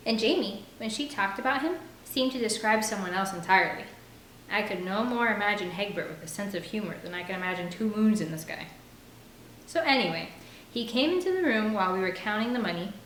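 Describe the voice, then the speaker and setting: A woman's voice: mid-range voice, a bit bright. A woman narrating a first-person novel aloud as an audiobook reader. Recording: roomy echo with faint background noise.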